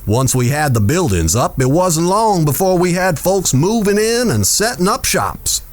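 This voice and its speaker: A man playing a smooth, self-important saloon owner in a Western audio drama, speaking in a formal, courtly, measured way. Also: fairly high pitch, sing-song. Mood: proud, courteous.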